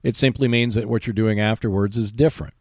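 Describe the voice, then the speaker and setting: A man's voice: on the low side, fairly even intonation, fast, dry recording, studio quality. A man hosting a gaming show, giving instructional tips in an explanatory, emphatic and reassuring way. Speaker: host of a tabletop gaming show. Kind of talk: instructional tips talk on board wargaming.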